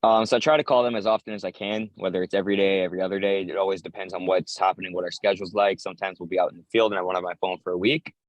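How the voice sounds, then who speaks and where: A man's voice: low-pitched, little pitch movement, racing, hardly any pauses, no room sound, rough recording. A man in his twenties with an American accent, answering interview questions in casual talk as a soldier. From United States. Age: 20s. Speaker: interviewee, infantry paratrooper soldier.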